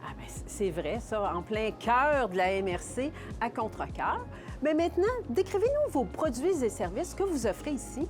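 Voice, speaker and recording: A woman's voice: fairly high pitch, very animated, normal speed, a little mellow, soft background music. A woman with a Quebec French accent hosting a local TV magazine, speaking in a polished, enthusiastic presenter style. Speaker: TV host and interviewer.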